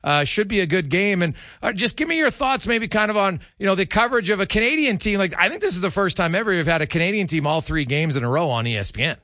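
A man's voice: high-pitched, animated, fast talker, hardly any pauses, sharp tone, no room sound, clean studio sound. A man hosting a sports talk show, chatting casually as he interviews a guest.

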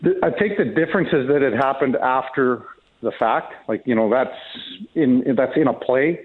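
A man's voice comes through a narrow, phone-like connection, sitting at an ordinary middle pitch. He talks sports casually as a guest commentator, offering folksy opinions in a conversational way. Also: normal speed, breathy edge. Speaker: hockey commentator, guest on a sports radio show. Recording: tinny narrow phone-like line.

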